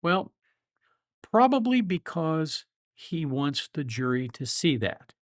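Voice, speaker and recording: A man's voice: a little high, sing-song, slow, no echo, clean studio sound. A man of seventy, a lawyer hosting a livestream, chatting casually with viewers in a reflective, rambling way with many fillers and restarts. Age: 70s.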